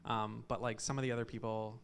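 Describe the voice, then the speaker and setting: A man in an audience offering casual, halting feedback in an informal discussion. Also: mid-range voice, level tone, creaky, clear, resonant tone. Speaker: audience member giving feedback. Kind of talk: informal spoken critique.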